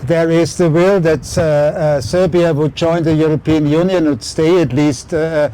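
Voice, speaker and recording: A man moderating a public talk outdoors, halting and full of fillers. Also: fairly high pitch, steady tone, mellow, slight background noise, poor audio. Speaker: event moderator. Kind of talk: public talk on a city tour. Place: outdoor city square.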